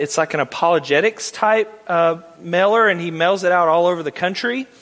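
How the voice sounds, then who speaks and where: A man's voice: fairly high pitch, fairly bright tone. Southern man preaching in a reverberant sanctuary. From Tennessee. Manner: folksy, conversational preaching with rising emphasis. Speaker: guest preacher, Bible department head. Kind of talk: sermon. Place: church sanctuary. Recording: long hall reverb.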